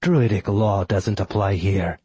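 A wrathful villain god played by a male narrator in a fantasy audiobook. He speaks low, pompous and sneering, every phrase an arrogant threat. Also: moderate pace, darkish tone, husky, no echo. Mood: defiant scorn.

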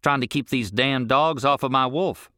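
A laconic teenage ranch boy with a drawl, terse and guarded in his answers, as a man reads him. The voice sits a little above the reader's low own register, close and dry. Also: normal speed, sharp tone, no room sound, lightly acted.

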